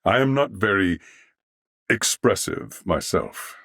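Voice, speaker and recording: A man voicing a courteous, earnest mechanical alien life form in a video game, speaking formally and with measured, polite precision in a husky voice. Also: low-pitched, lively intonation, a bit bright, no echo, clean studio sound, heavily acted. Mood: grateful, solemn. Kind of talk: video game character dialogue.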